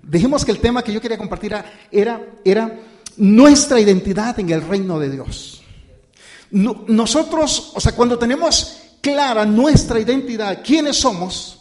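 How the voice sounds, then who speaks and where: Un predicador de voz alta y tono coloquial habla a su congregación con pausas, titubeos y arranques repetidos. Su sermón suena informal, como una conversación con los hermanos. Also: male voice, high voice, lively intonation, a little mellow. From born outside the United States. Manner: informal, halting, with many fillers and restarts; addresses listeners as brothers and "usted". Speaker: pastor. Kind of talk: conversational sermon.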